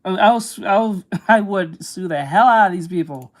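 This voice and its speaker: A woman artist chatting casually while she draws, reacting with quick exclamations and plenty of swearing. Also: mid-range voice, darkish tone. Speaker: artist streaming while drawing. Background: music.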